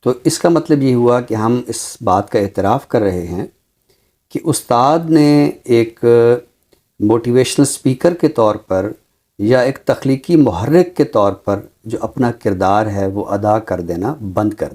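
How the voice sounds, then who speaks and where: Male academic guest, dark mellow timbre, mid pitch, deliberate and explanatory. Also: lively intonation. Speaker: Urdu teacher, programme guest. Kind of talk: considered discussion, explanatory.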